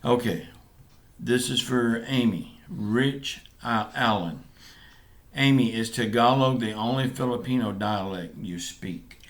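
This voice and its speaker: A man with a Southern US accent, casually answering viewer questions as a vlog co-host, easygoing and a bit rambling. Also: medium pitch. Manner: easygoing, rambling, halting with fillers. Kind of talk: casual chat.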